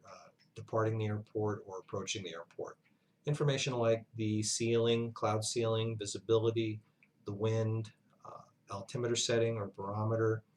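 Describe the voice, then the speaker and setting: A man giving an instructional lesson as a flight instructor, speaking in a measured, halting way with pauses mid-sentence. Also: on the low side, slight background noise. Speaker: flight instructor, university lecturer. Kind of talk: instructional narration, explanatory lesson.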